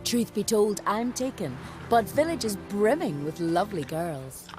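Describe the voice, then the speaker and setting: Woman as teasing village wife, lively voice, game music. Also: mid-range voice, a little slow, close to an everyday voice. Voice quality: teasing, chatty. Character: flirty, gossipy village wife, middle-aged. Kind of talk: video game dialogue.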